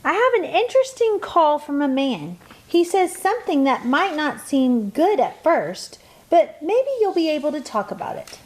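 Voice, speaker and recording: Casting: woman as supportive mom in radio play, high voice, lively intonation, gentle manner. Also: moderate pace, few pauses. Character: supportive, calm mother producing her daughters' podcast.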